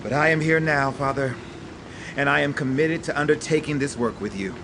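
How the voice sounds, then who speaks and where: An actor playing an earnest young adult son, deferential and declamatory, in a comic radio theatre style. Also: male voice, on the high side, normal speed, creaky, noisy background. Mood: devoted, pleading. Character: earnest, eager, adoring grown son.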